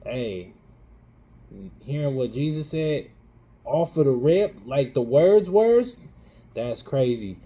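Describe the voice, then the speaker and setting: A man co-hosting a casual chat, animated and playful, dropping slang as he hypes up his co-host. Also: medium pitch, slow pace, long pauses. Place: living in Europe.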